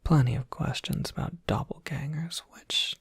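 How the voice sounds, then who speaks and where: A person voicing a courteous Cerberus professor in a whispered ASMR roleplay, polite, gently scholarly and prone to rambling, with a soft breathy whisper. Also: medium pitch, normal speed, dry recording, clean studio sound. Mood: chatty, genteel.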